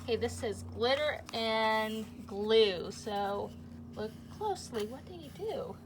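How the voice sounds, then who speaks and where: A woman with a fairly high voice reads a picture book to children in a teacherly way. She explains simply and puts questions to her young listeners. There is clear noise behind her. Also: expressive, slow pace. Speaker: teacher reading aloud to children. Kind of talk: children's storybook read-aloud with asides and questions.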